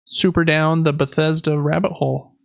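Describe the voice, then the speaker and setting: Gravelly guy on a games podcast, casual and kinda meandering, dry mic thats a bit dull. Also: on the high side, fairly even intonation, moderate pace, dry recording. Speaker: podcast co-host. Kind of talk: casual video game chat.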